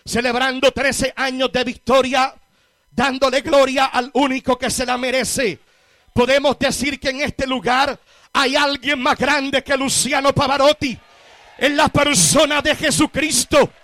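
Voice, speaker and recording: A man's voice: very high-pitched, flat delivery, measured pace, sharp tone, dry recording. A man with a Puerto Rican accent preaching a sermon to a congregation, emphatic and repetitive, addressing his listeners directly. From Puerto Rico. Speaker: guest preacher.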